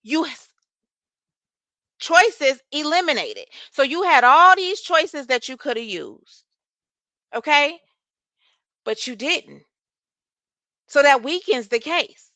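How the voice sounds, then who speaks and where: A soft-voiced woman with hosts a live chat, her tone bright and forceful. She sits close to a dry microphone and answers viewers in an animated way, cursing freely. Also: high voice, slow pace, pauses often, no room sound. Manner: animated, talks back to chat, curses freely. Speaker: livestream host.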